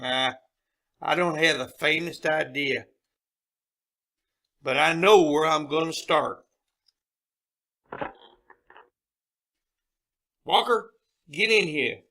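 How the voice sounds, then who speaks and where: A man playing a brisk, commanding senior military officer in an audio drama, with a clipped, authoritative manner. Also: fairly high pitch, creaky, pushed and bright, exaggerated voice. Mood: decisive.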